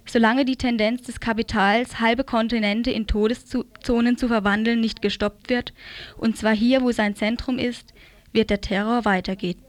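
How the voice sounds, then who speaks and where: A woman's voice: fairly high pitch, steady tone, normal speed, dry recording. A woman reading a scripted political commentary aloud as a radio presenter.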